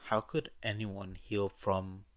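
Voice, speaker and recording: Male audiobook narrator, deep register, unhurried delivery of scripted fiction. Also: flat delivery.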